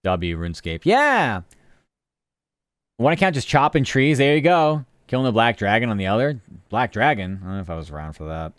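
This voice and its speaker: A man's voice: mid-range voice, big pitch swings, a little fast, fairly bright tone, no echo, clean studio sound. A man streaming live, chatting casually and rambling from topic to topic while answering his chat.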